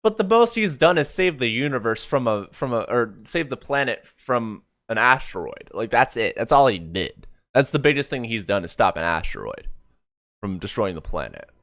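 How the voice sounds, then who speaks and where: A man's voice: mid-range voice, sing-song, normal speed, a bit bright, no echo, studio quality. A man giving casual reaction commentary as a streamer, rambling and often correcting himself mid-thought. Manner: rambling, trailing self-corrections. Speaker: streamer reviewing an animated comic.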